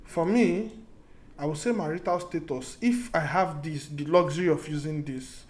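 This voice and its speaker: A man's voice: on the high side, a little mellow. A man teaching a programming lesson, thinking aloud as he goes, often restarting and repeating his key points. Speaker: programming tutor. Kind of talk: casual screen-recorded coding lesson.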